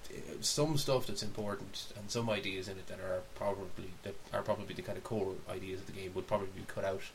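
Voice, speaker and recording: A man's voice: low-pitched, steady tone, fast talker, slight background noise, low-quality recording. A man co-hosting a podcast, chatting casually and giving opinions in a rambling way that often doubles back on itself. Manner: rambling, backtracking, mildly sweary. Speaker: podcast co-host. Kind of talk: casual opinionated chat about films and games.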